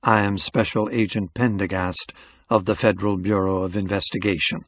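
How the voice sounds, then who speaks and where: Man playing Southern US federal agent, smooth, formal, measured, coolly insolent, audiobook character. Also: fairly low pitch, flat delivery, a bit bright, no echo. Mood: calm, authoritative. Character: cool, insolent male Southern FBI special agent.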